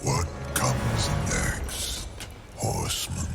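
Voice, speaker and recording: An actor playing an ominous, solemn lord of souls in a video game, grave and portentous. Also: male voice, extremely slow, over music. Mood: grave, foreboding. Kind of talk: video game cutscene acting.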